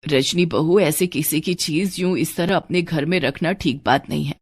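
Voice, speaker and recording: A woman's voice: deep voice, moderate pace, husky, no echo, voice pushed down. A woman voicing a cautious, disapproving older mother-in-law who admonishes her family in a cartoon story. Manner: scolding, admonishing.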